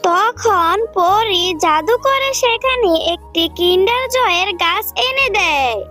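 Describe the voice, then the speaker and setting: A woman's voice: very high voice, expressive, slow pace, pushed and bright, faint music behind. A woman reading scripted narration for a children's cartoon story.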